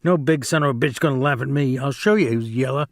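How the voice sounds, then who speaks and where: A man's voice: medium pitch, quick pace, dry recording, studio quality, natural voice. A man voicing a small, hot-tempered young man spoiling for a fight. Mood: belligerent. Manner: aggressive, belligerent.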